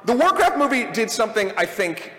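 A man's voice: sits high, big pitch swings, a little fast, fairly bright tone. A man hosting a live panel at a convention, talking casually and rambling, often correcting himself as he goes. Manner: rambling, self-correcting, jokey. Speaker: podcast host on a live panel. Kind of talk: casual panel talk before a live audience. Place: convention hall. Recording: echoey hall with long reverb.

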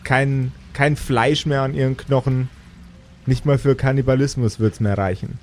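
A man narrating an improvised tabletop game as game master, with deliberate, dramatic phrasing. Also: mid-range voice, fairly even intonation, darkish tone, a little hiss or hum.

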